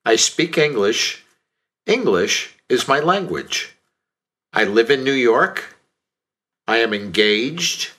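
Brief: male reader as a young American man, bright tone, slow deliberate delivery. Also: mid-range voice, exaggerated voice. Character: young American man reading a self-introduction. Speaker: course audio reader. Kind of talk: slow scripted language-course reading.

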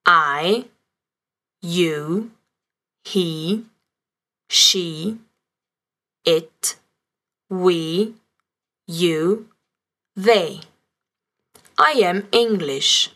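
Brief: woman lesson narrator, lowish voice, slow careful reading. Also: sharp tone. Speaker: language teacher narrator. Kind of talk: scripted language lesson narration.

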